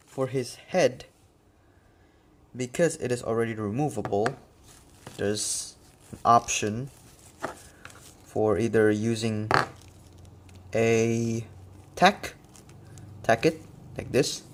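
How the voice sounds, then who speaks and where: A man's voice: mid-range voice, slow, long pauses, a little hiss or hum. A man casually explaining his custom figure work step by step, with occasional fillers. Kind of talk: casual product walkthrough.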